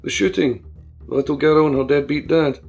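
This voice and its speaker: A man doing a gruff phone fixer. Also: a little high, a little fast, a little mellow. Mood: brusque. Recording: dull lo-fi with faint music.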